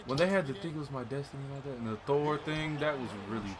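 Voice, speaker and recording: A man's voice: mid-range voice, fast. A man in a casual, slangy movie discussion, informally quoting lines as a co-commentator. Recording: basketball game crowd and bouncing behind. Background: basketball video game crowd and ball bounces.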